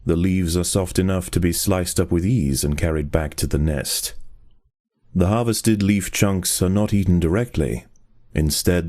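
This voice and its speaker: A man playing a solemn nature documentary narrator in a video game, measured, grave and formal. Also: deep voice, mellow, lightly acted.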